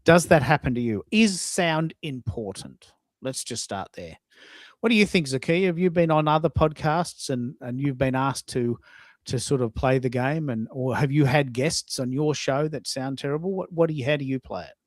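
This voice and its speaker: A man's voice: mid-range voice, moderate pace, no room sound, clean studio sound. A man hosting a live audio show in a casual, conversational way, posing questions and acting out what people say.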